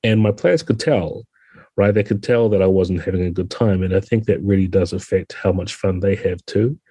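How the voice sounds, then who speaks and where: Low, mellow man with a husky edge. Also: moderate pace, no room sound, highs cut off. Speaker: guest, game designer. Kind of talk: podcast interview conversation.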